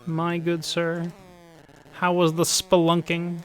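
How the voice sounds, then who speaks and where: Slightly hoarse guy around 40, fairly high voice, chatty streamer thanking viewers mid-run. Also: monotone, takes it slowly, faint music behind, rough recording. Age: 40s. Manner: upbeat, chatty, thanks viewers while concentrating. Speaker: speedrun streamer. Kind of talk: live-stream gameplay commentary.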